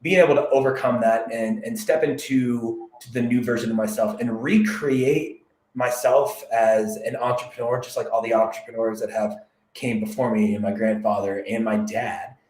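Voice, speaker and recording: A man from the United States, a realtor guest on a podcast, telling his story in a candid, reflective, measured way. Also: mid-range voice, a little mellow, roomy sound, poor audio. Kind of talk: podcast interview.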